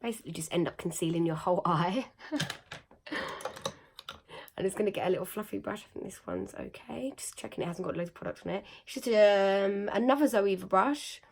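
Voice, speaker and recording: A young woman with a croaky, slightly nasal voice after being ill, chatting casually through a makeup tutorial with lots of fillers. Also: mid-range voice, animated, a little fast, a little hiss or hum. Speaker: beauty vlogger.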